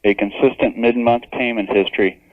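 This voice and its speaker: A man's voice: mid-range voice, fairly even intonation, fairly quick, no room sound. A man phoning a radio show as a listener, talking casually over the telephone. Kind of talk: casual phone call. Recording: narrow muffled phone line on radio.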